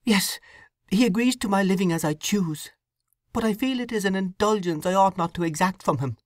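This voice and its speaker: A woman's voice: mid-range voice, moderate pace, dry recording, studio quality, voice pushed up, close to an everyday voice. A woman voicing a young, distraught woman torn by conscience, pleading and breathless. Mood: anguished.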